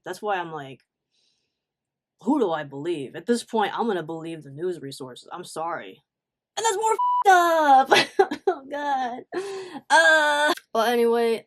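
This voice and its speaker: Expressive woman telling a personal story. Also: on the low side. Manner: animated, emphatic, acts out reported dialogue; informal slangy talk with swearing. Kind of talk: casual confessional storytime chat.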